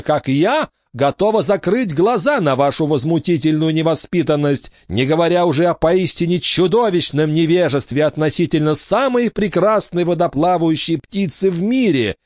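A man's voice: fairly high pitch, darkish tone, no echo, clean studio sound, voice pushed up, natural voice. A man voicing a stern, pompous middle-aged lady who lectures children with fussy disdain. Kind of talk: audiobook character voice.

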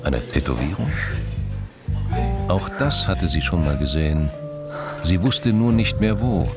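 A male actor narrating a crime radio drama in a measured, terse, dry and observant way. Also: low-pitched, expressive, loud music behind the voice.

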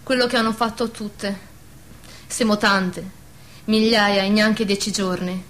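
A woman's voice: medium pitch, steady tone, slow pace, a bit bright. An actress playing a steadfast, sorrowful, protective village woman in a radio drama, sombre, with a southern Lazio dialect. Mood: sorrowful, bitter. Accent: southern Lazio Italian dialect.

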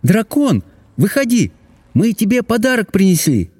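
A man voicing a gentle young princess from a storybook, speaking in a soft, timid, coaxing way. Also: high voice, sing-song, normal speed, husky, in a large hall, voice pushed up, full character voice. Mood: bold, calling. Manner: soft, tender, cooing.

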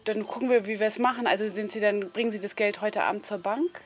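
A woman's voice: mid-range voice, steady tone, quick pace. A woman working as a reporter, talking casually into a handheld microphone in a small shop. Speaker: reporter and radio play maker. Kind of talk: casual field conversation. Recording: noisy on-location field recording.

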